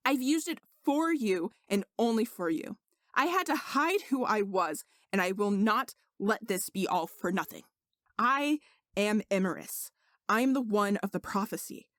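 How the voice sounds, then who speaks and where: A woman's voice: mid-range voice, animated, unhurried, fairly bright tone, dry recording, studio quality. A woman voicing an indignant young male servant who is secretly a sorcerer, speaking earnestly and insistently in a story reading. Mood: pleading, hurt.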